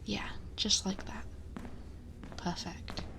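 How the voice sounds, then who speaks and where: A woman playing a possessive, protective dragon girl in an intimate ASMR roleplay, speaking softly and breathily, often whispering, close to the microphone in a measured murmur. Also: medium pitch, fairly even intonation, vocal fry. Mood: protective, guiding. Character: possessive female dragon girl, protective, commanding yet tender. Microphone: close binaural ASMR microphone.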